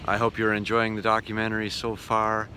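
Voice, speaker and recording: A man's voice: lowish voice, monotone, brisk, loud music behind the voice. A man hosting a casual travel vlog, narrating with wry, joking asides. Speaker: vlog host and travelling musician.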